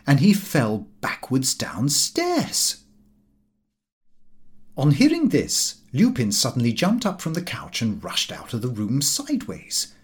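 A man reading a comic diary novel as an audiobook, with fussy, indignant storytelling. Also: mid-range voice. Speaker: audiobook reader.

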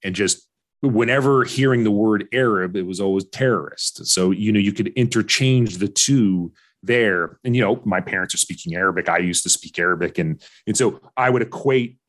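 An American man in his forties telling personal stories candidly as an interview guest, informal and halting, often repeating phrases for emphasis. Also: lowish voice. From Orange County, California. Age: 40s. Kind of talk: candid personal storytelling in conversation.

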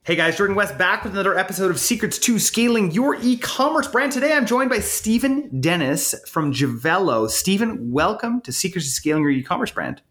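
A man's voice: sits high, sing-song, fairly quick, hardly any pauses, fairly bright tone. A man hosting a business podcast, upbeat and joking as he asks questions. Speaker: podcast host. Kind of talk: podcast interview and ad read.

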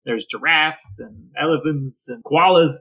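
A man's voice: fairly high pitch, unhurried, sharp tone, husky. A middle-aged man co-hosting a podcast, telling stories casually and comically in a grumbling, exasperated way. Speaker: podcast co-host. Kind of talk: casual comedic storytelling.

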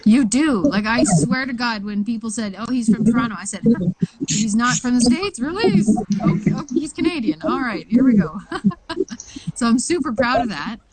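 A woman's voice: fairly high pitch, animated, normal speed, crowd noise, rough recording. A woman hosting a casual interview, bubbly and promotional, often laughing. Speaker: interview host.

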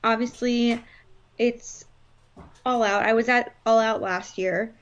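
A woman co-hosting a live stream in casual chat, her voice hoarse, rambling with lots of fillers. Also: fairly high pitch, steady tone, slow, dull audio. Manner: chatty, rambling, frequent fillers. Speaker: podcast co-host.

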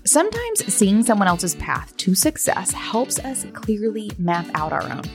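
Enthusiastic lady hosting a business podcast, middle pitch, bit of music under it. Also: huge pitch swings, measured pace. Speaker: podcast host. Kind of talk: casual interview and scripted ad reads.

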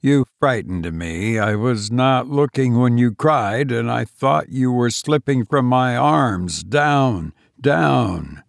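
A man voicing a brooding, fearful lover in an audiobook, grave and halting but tender. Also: medium pitch, animated, creaky, no echo, clean studio sound. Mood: soothing, shaken. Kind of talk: audiobook character voice.

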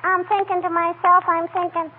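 A woman's voice: extremely high pitch, level tone, brisk. A woman playing a cheeky, pestering little girl in a 1940s radio comedy, with a childish wheedling manner. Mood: cheeky, musing. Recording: tinny, noisy old 1940s radio recording.

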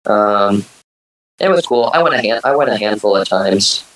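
A male band singer with a fairly low speaking voice. He answers in a relaxed, halting way and often trails off mid-sentence. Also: low-quality recording. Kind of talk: casual interview answers.

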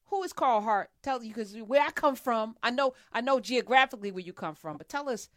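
A woman's voice: fairly high pitch, animated, a little fast, fairly bright tone, dry recording, studio quality. A woman co-hosting a talk show, making earnest, emphatic points in building lists before asking her guest a question. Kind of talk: conversational discussion.

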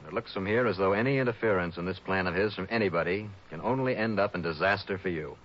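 A man playing a deep-voiced wry investigator. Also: fast talker, slight background noise, close to an everyday voice. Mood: dry. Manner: dry, clipped, wisecracking. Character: wry hardboiled male insurance investigator. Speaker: narrator and lead. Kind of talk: 1960s radio drama acting. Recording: dull old radio broadcast with music.

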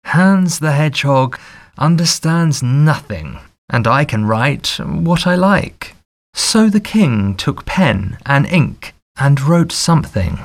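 A man narrating a fairy tale audiobook in a measured, deliberate storytelling manner. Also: mid-range voice, gravelly.

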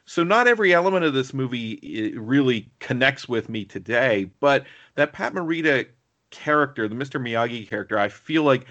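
A man's voice: mid-range voice, animated, normal speed, a bit bright, highs cut off. A man co-hosting a casual film discussion, talking in a rambling, digressive way. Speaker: podcast co-host.

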